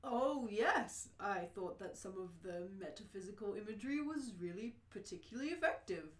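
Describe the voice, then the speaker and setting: A woman's voice: mid-range voice, lively intonation, moderate pace, reverberant, natural voice. A woman reading the part of an earnest, nervous prisoner in a radio play. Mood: gushing flattery.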